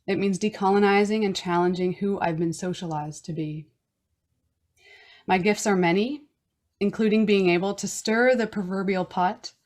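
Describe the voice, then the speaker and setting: A woman from Newfoundland hosting a wellness podcast, reading a reflective personal statement in a slow, earnest way. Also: mid-range voice, a little mellow. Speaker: podcast host. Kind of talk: reading a personal land acknowledgment and intro.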